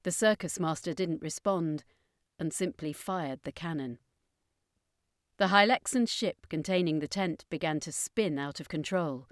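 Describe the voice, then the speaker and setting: A woman with a fairly low voice tells a fantasy story as scripted narration. The sound is dry, with very little room around it. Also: unhurried, no echo.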